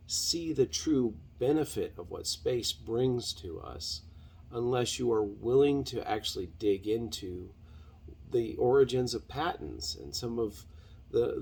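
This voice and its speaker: Guy doing a solo podcast, kinda husky creaky voice, halting and earnest. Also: mid-range voice, a little mellow. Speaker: podcast host. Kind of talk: unscripted solo podcast monologue.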